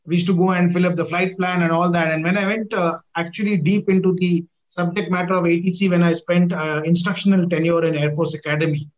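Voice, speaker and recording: A man's voice: high-pitched, flat delivery, a little fast, few pauses, low-quality recording. A middle-aged man with an Indian accent hosting a conversational interview in an effusive, wordy manner. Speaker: interview host, former air force officer.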